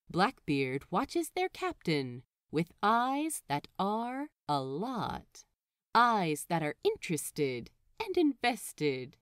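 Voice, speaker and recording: A woman's voice: mid-range voice, dry recording, studio quality. A woman narrating fan fiction expressively, with wry, playful and dramatic phrasing. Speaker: audiobook narrator.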